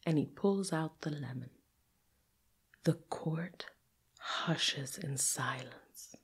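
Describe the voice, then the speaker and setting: A woman storyteller narrating a folktale dramatically, with deliberate pauses and repetition that build suspense. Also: sits low, breathy edge.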